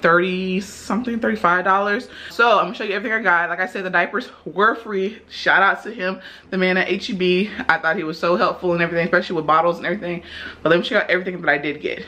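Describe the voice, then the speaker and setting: A woman's voice: lowish voice, a bit bright. Chatty Texan mom vlogging from her kitchen. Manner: chatty, direct, addresses viewers as y'all. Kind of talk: casual vlog chat. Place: home kitchen, indoors. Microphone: handheld camera at arm's length.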